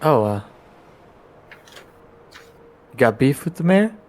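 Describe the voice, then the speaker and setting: A man playing a tabletop role-playing game, improvising in-character dialogue in a halting, hesitant way with trailing phrases. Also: mid-range voice, big pitch swings, a little mellow.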